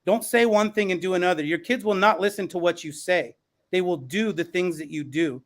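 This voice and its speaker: A man with a notably high voice moderates a podcast panel. He responds encouragingly, making his points in measured, emphatic phrases.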